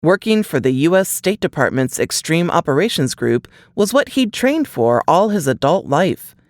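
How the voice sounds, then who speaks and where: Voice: medium pitch, sing-song, moderate pace, dry recording, clean studio sound. A narrator reading a suspense novel aloud in scripted audiobook style.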